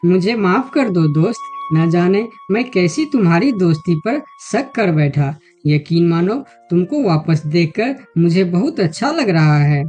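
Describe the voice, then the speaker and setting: A woman's voice: fairly low pitch, animated, a little slow, few pauses, dark tone, faint music behind. A woman voicing an emotional, easily hurt male deer in a children's cartoon story. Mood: relieved, grateful. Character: hurt, angry male deer, later grateful friend.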